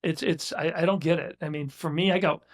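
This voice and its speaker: A man's voice: fairly high pitch, fairly even intonation, fairly quick. A man in his sixties, a business owner talking as a guest in a casual interview, blunt and emphatic, often restarting his sentences. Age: 60s.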